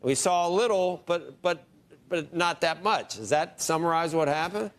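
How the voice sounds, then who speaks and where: A man anchoring a conversational financial news discussion, with halting, repetitive phrasing. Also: a little high, lively intonation. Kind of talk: conversational live market discussion.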